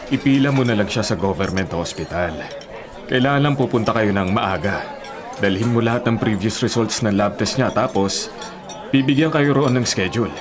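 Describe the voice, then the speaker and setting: A man's voice: medium pitch, natural voice. An actor playing a practical, kindly male delivery co-worker in a Filipino radio drama, plain-spoken and advising, with a Filipino accent. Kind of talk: naturalistic radio drama acting. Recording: music bed behind the voice.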